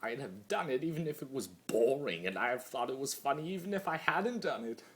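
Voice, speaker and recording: A man performs a mad male spirit of chaos in a dramatic fan-fiction monologue. The delivery is theatrical and very animated, with a mid-range pitch that leaps and dips widely. The character sounds all-powerful yet trapped, swinging between manic glee and hushed brooding. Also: brisk, lightly acted.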